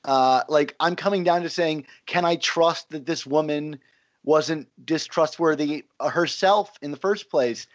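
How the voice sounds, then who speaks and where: A man's voice: a little high, unhurried. A man on a podcast musing aloud about a film, speculative and questioning. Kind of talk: casual film discussion. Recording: slightly dull, lo-fi sound.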